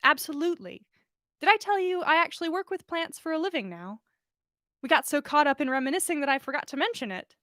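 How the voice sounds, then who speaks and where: A woman's voice: high-pitched, expressive, fast talker, fairly bright tone, no room sound, studio quality, voice pushed up. A woman reading the part of a shy, earnest young man in a story, hesitant and modest. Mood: friendly.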